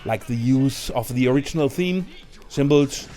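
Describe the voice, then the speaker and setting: A man's voice: mid-range voice, normal speed, mellow. Man narrating a film ranking over music. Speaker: video presenter and film reviewer. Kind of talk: scripted review narration for a ranked list.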